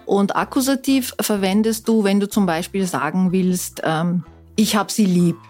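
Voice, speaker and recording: A middle-aged woman, a guest chatting casually in a podcast, self-deprecating and quick to laugh. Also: medium pitch, darkish tone, soft background music. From Austria. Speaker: guest, former member of parliament.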